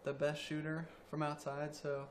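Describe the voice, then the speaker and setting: A young man around twenty, a college student athlete, answering interview questions quietly with many ums. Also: fairly high pitch, completely flat delivery, normal speed, slight background noise. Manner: quiet, soft-spoken, hesitant with ums.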